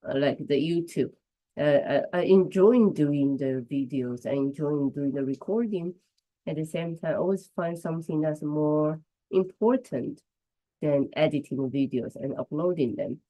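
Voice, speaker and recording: A woman whose first language is Chinese hosts a casual live stream, her voice strained by the flu. Her tone is dark and mellow, pitched slightly low, and she chats loosely, restarting phrases and greeting viewers by name as they arrive. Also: a little slow, poor audio. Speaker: livestream host.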